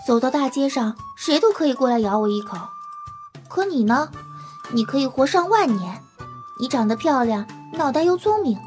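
A high, youthful-pitched, irreverent human underdog, played by a woman in an audio drama. The delivery is guileless, plain-spoken and theatrically teasing, over background music. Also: darkish tone. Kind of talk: Chinese audio drama acting and narration.

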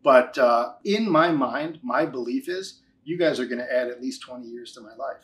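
A man's voice: mid-range voice, normal speed, fairly bright tone. A man in his forties talking conversationally as an interview guest, giving a testimonial with emphatic, repeated key phrases. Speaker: interview guest, financial advisor.

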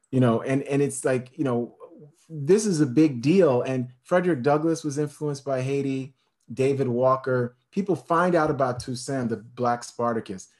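A man's voice: medium pitch, a little mellow. Impassioned man lecturing on history. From United States. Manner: emphatic, building lists, many fillers and restarts. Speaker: speaker on history.

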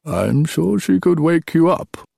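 Guy doing old tired king in an audiobook, slow n deliberate, sly teasing dad, close dry sound. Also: fairly high pitch, lively intonation, creaky, mellow, no echo, pitched above the performer's own voice, exaggerated voice. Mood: wry, teasing. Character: old drowsy king, a wry, teasing father telling tales.

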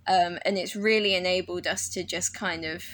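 A woman's voice: fairly low pitch, steady tone, moderate pace, a bit bright. A woman horticulturist in a podcast conversation, enthusiastic and openly nerdy as she explains her garden project. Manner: enthusiastic, self-described nerd.

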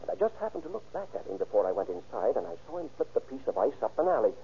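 An earnest male office boss chats in a very animated, mellow voice. It is 1930s radio crime drama with muffled sound. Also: lowish voice, racing, husky, heavily acted. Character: earnest, puzzled male ice company superintendent. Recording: muffled, noisy old radio recording.

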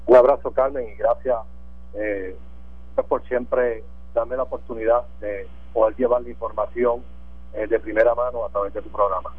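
A man's voice: medium pitch, fairly even intonation, moderate pace, husky, no echo. A man, a mayor speaking formally about local measures in a radio phone interview. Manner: formal, official-sounding. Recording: tinny dry phone line.

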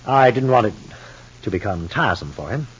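A man's voice: fairly low pitch, expressive, brisk, fairly bright tone, breathy edge, exaggerated voice. A man playing a stiff, superior husband and father in a 1950s radio soap opera, speaking formally and pompously. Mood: cool, measured. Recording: muffled old radio recording.

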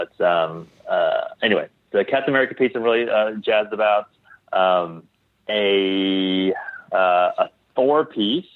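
Male podcast guest, lowish voice, casual and halting, restarts often, heard through a narrow phone-like connection. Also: no echo. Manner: halting, tentative, trails off and restarts. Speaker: interview guest, clothing designer. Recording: tinny phone-like line.

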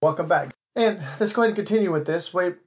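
High-voiced male podcast host. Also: quick pace. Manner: rambling, with frequent restarts and asides to listeners. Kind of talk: commentary podcast monologue.

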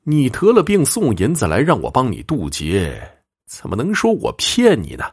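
A man's voice: low voice, huge pitch swings, normal speed, creaky, no room sound, studio quality, exaggerated voice. A man voicing a greedy, sly fortune-teller in a storybook tale, cajoling and self-important. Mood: puzzled.

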